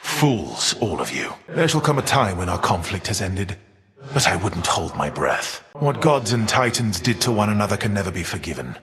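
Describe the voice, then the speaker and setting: An actor playing a proud, vengeful, defiant Titan of fire in a video game, speaking with grave, haughty, archaic formality. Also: male voice, on the low side, sing-song, moderate pace, crowd noise. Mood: grave, scornful. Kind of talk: dramatic video game villain voice acting. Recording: long reverb tail.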